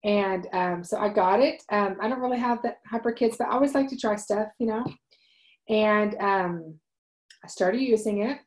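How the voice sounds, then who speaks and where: A woman's voice: mid-range voice, moderate pace. A woman in her forties hosting a wellness livestream from home, telling a personal story earnestly with many ums and restarts, speaking into a webcam. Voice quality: loud voice. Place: home room, indoors. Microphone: webcam at desk.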